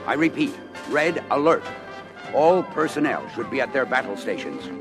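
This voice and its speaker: A man's voice: mid-range voice, close to an everyday voice. An actor playing a stern military commander in an audio adventure, addressing his crew in a formal, urgent, commanding manner. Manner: commanding, formal, emphatic briefing. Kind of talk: 1980s children's audio adventure record acting.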